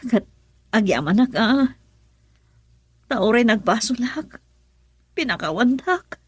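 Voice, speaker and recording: A woman playing an ailing, forgiving mother in an emotional radio drama, her voice breathy and strained. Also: fairly high pitch, animated, slow pace, no echo, full character voice. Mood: weak, grateful.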